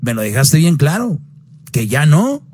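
A man's voice: sing-song, unhurried, darkish tone, slight background noise. Blunt man giving radio advice. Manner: blunt, preachy, swings pitch up for emphasis.

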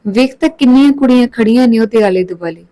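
A woman's voice: fairly high pitch, fast, mellow, voice pushed up, lightly acted. A woman storyteller voicing a teasing, bold young female college student in colloquial, feisty speech.

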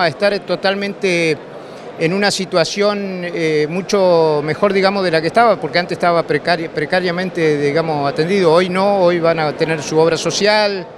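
An older man with an Argentine Spanish accent, speaking as a cooperative representative in a trade fair interview, talking fluently and filling with "digamos". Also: sits high. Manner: fluent, repeats phrases, fills with "digamos". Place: busy indoor exhibition hall. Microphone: handheld interviewer microphone. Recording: news camera at noisy expo.

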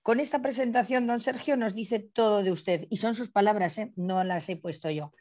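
A woman's voice: mid-range voice, moderate pace, darkish tone. A middle-aged woman introducing a speaker in an effusive, emphatic way with rhetorical asides, partly reading out. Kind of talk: introduction speech, partly read out. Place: indoor room, on a video call. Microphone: earphone headset on a video call. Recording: dull lo-fi video call sound.

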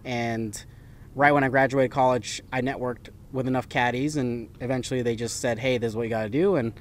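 A young adult man born in the Philippines, a professional golf caddie answering interview questions in a relaxed, rambling way. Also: mid-range voice, brisk, a little hiss or hum. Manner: relaxed, talks in loose run-on phrases with fillers. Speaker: PGA Tour caddie, guest.

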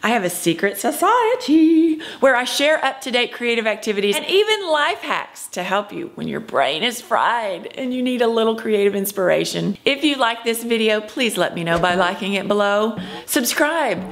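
A woman in her fifties hosts a scripted teaching video. Her voice sits fairly high, and she presents emphatically, like a drama teacher talking straight to camera. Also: big pitch swings, moderate pace. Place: indoor room at home.

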